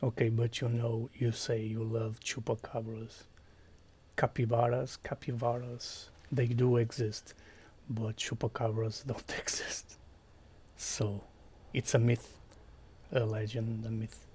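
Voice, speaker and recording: A man from Portugal with a Portuguese accent, chatting quietly on a stream, hesitant, with many fillers and slips into whispers. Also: medium pitch, completely flat delivery, slow pace, husky. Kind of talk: quiet casual chat with viewers.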